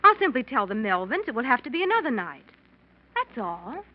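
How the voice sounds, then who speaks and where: An actress playing a concerned, patient, gentle wife in a 1940s radio drama, soothing and solicitous. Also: female voice, a little high, very animated, brisk, heavy background noise. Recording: dull old radio recording.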